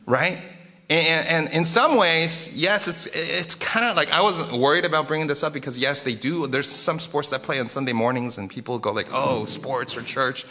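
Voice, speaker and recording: A male pastor speaks to his congregation in a bright, forceful voice that sits a little high. He preaches casually through stories, animated and conversational, circling back and repeating phrases as he goes. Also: normal speed, soft background music. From Korea and South America. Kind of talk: casual storytelling sermon to a live congregation.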